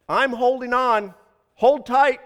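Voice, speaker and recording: A pleading, demanding man hangs from a rope in a told parable , acted out by a male storyteller. His voice is pitched high and he calls out loudly and insistently, pressing for help. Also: measured pace. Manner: loud, insistent calling out. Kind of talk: dramatized storytelling.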